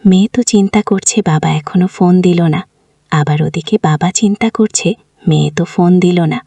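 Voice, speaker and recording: A woman's voice: fairly low pitch, normal speed, vocal fry, dark tone, breathy edge, dry recording. A woman tells a family story in an informal, colloquial way, as a storyteller who voices the dialogue herself.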